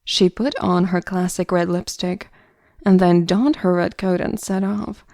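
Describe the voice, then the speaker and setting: A woman's voice: fairly low pitch, flat delivery, unhurried, a little mellow, dry recording, clean studio sound. A woman narrator reading a scripted story for an audio drama.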